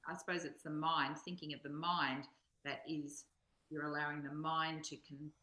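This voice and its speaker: A woman's voice: low-pitched, little pitch movement, moderate pace, bright, forceful tone. A woman in a reflective spiritual discussion, thinking aloud tentatively, recorded with a roomy echo. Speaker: co-reader and discussion partner. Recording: roomy, echoing sound.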